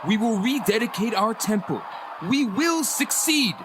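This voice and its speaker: Rebel commander voiced by a man, defiant and declamatory, high raised pitch, radio reenactment. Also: animated, unhurried, heavy background noise. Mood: defiant, resolute.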